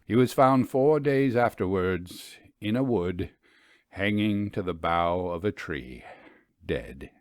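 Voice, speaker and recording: Slow, low-voiced man reading a story. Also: animated, no echo, studio quality. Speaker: audiobook story reader. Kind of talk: scripted literary narration of a ghost story.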